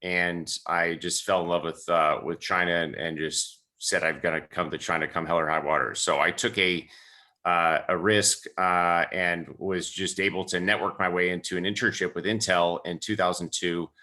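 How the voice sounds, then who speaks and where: A man's voice: sits low, little pitch movement, normal speed, bright, forceful tone. A man in his forties, an entrepreneur, telling his story casually and candidly on a webinar call.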